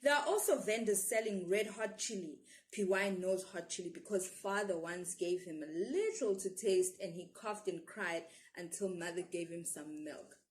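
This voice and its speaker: A woman's voice: lowish voice, normal speed, hardly any pauses. A woman with a South African accent reads a children's story expressively as a storyteller.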